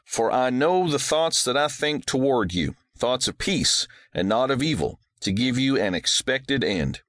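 A man playing a devout widowed rancher father from Texas, speaking softly and patiently to his young daughter. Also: mid-range voice, lively intonation, measured pace, no room sound, studio quality, voice pushed up. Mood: patient, gentle. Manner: soft, patient, reassuring.

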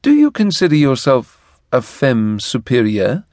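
A man's voice: medium pitch, wildly swooping pitch, a little slow, a little mellow, no room sound, studio quality. A male reader voicing an awkward, proud young man in an audiobook, stiff and slightly huffy. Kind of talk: audiobook character voice.

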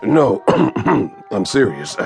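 A man's voice: on the low side, sing-song, drawn-out words, a little mellow, faint music behind, exaggerated voice. An actor playing an amiable, ailing old man with a congested voice in a radio drama. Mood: friendly, weary. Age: older. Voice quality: congested, throat-clearing.

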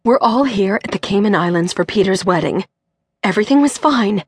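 A woman's voice: mid-range voice, expressive, fairly quick, breathy edge, voice pushed up. A woman voicing a strong, elegant mother who is gasping and pleading through tears. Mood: distraught. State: crying.

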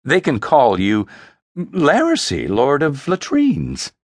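A man's voice: medium pitch, wildly swooping pitch, takes it slowly, no echo, clean studio sound, pitched above the performer's own voice, exaggerated voice. A man voicing a smug young woman who taunts her little brother with a smirking tone. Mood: mocking. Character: smug, mocking young woman warrior, older sister.

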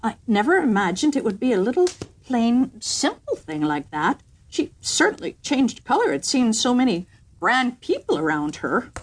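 A woman's voice: fairly high pitch, big pitch swings, normal speed. A woman voicing a haughty, fussy male lord in waiting in a storybook reading, stuffy and a little flustered.

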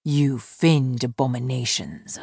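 A woman's voice: extremely low pitch, fairly even intonation, unhurried, darkish tone, no echo, clean studio sound, full character voice. A woman voicing a surly, contemptuous fae man who speaks in curt, blunt lines.

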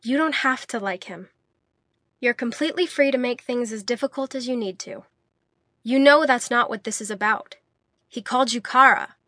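A woman's voice: on the high side, normal speed, pushed and bright, dry recording, clean studio sound. A woman voicing a jealous, protective young man who argues insistently. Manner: indignant, insistent.

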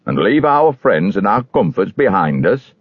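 A man's voice: fairly low pitch, sing-song, unhurried, husky, no room sound, lo-fi sound, full character voice. A man voicing a stubborn, scornful neighbour in a storybook, blustering and dismissive.

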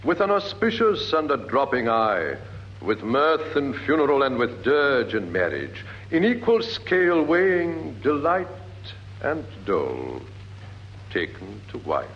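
A man's voice: mid-range voice, huge pitch swings, a little slow. Stately British king, swooping delivery. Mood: solemn, measured. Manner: stately, declamatory, rhetorical. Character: smooth, commanding new king addressing his council. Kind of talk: classical Shakespearean radio acting. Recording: dull, noisy vintage radio recording.

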